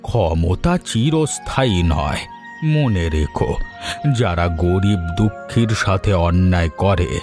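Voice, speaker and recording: A man voicing a slow, wise old forest healer. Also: deep voice, music in the background. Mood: soothing. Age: older. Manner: slow, calm, fatherly counsel. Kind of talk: cartoon voice acting.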